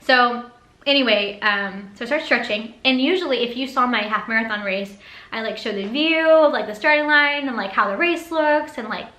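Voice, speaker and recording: A young woman with a high-pitched, bright voice tells a story casually to her followers. She is chatty and animated, slipping into quoted dialogue, and the room adds a clear echo. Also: moderate pace. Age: young adult. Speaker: vlogger. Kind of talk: casual story time vlog. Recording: roomy echo.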